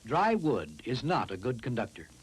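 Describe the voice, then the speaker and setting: A man reads scripted science narration for a classroom film, explaining in a deliberate, instructive way. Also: mid-range voice, very animated, slightly rough.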